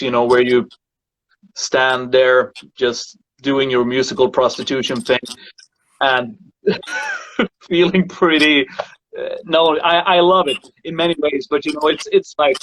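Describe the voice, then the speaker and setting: A male singer hosting a casual chat about voices, speaking thoughtfully and halting often to find his words. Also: mid-range voice, a bit bright, rough recording. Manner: thoughtful, halting, repeats words while searching. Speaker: singer and show host. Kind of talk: casual conversation about singing.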